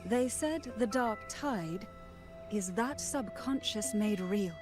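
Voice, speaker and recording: A woman playing a wise, sorrowful matriarch in a video game, recounting lore in a solemn, deliberate way. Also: medium pitch, lively intonation. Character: wise, sorrowful matriarch guarding ancient truths. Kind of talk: scripted video game dialogue. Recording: game audio under loud music.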